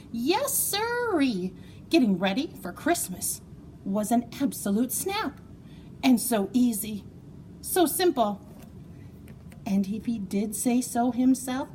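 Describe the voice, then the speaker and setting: Female children's story reader, highly varied intonation, slightly slow, expressive and playful, faint noise behind. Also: low-quality recording. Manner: expressive, playful, dramatic emphasis and drawn-out words. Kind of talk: animated children's story read-aloud.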